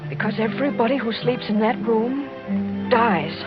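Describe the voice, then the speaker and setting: An actress playing an anxious, pleading young niece of a count, urgent and dramatic, in an old-time radio drama. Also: female voice, on the high side, lively intonation, normal speed, lightly acted. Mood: fearful, pleading. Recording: dull old radio recording with music.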